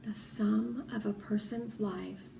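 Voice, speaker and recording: Woman reading as a soft, weary storybook mother, unhurried. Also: mid-range voice, steady tone, gravelly. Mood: doubtful. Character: weary, gentle, thoughtful mother in a children's story. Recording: roomy echo, faint background noise.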